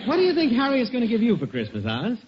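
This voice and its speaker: Wildly animated, high-pitched teasing neighbor. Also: male voice, fast, a loud crowd, full character voice. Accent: American. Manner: animated, needling, boastful. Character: teasing, boastful male neighbor and friend. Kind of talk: 1940s radio sitcom acting. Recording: dull, noisy 1940s radio broadcast.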